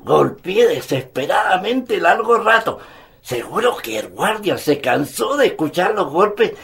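A man's voice: high voice, sing-song, gravelly, fairly bright tone, exaggerated voice. An actor playing a devout elderly grandfather in a radio drama, speaking earnestly and patiently like someone recounting a cherished memory. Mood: earnest recollection. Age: older. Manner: earnest, hesitant openings, measured storytelling. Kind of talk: Spanish-language radio drama acting.

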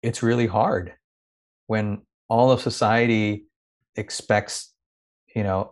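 A man who grew up in India talks in a reflective, searching way. His voice sits a little low, and he often restarts phrases as he gathers his thoughts. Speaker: guest. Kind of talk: reflective conversational interview.

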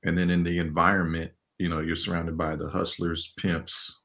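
A man in his forties with a very deep voice, talking about his life as a guest on an interview. He speaks in a loose, relaxed way, full of fillers, and often breaks into laughter. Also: moderate pace. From Los Angeles, California. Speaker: animation director, guest. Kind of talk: casual interview talk.

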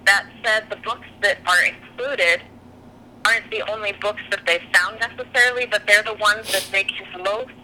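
A chatty woman speaking as a podcast guest, her voice very bright and forward. She talks openly and laughs easily. Faint noise hums behind her. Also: mid-range voice, steady tone, moderate pace, rough recording. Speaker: guest, author and singer. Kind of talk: podcast interview conversation.

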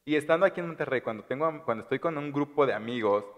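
A man from Chiapas, chatting relaxed on a podcast with lots of agreeing fillers. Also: on the high side, quick pace. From Chiapas, Mexico. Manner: relaxed, many "ajá" fillers. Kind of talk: casual podcast chat. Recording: long room reverb.

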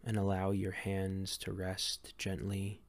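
A man leading a guided meditation in a gentle, calm, unhurried way with long pauses between phrases. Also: low voice, creaky. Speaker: meditation guide and series host.

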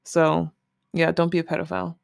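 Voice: mid-range voice, little pitch movement, a little fast, creaky, smooth, dry recording, studio quality. A host chatting casually and candidly alone, rambling informally with some swearing. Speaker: solo podcast host. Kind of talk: casual rambling chat, commenting on a list.

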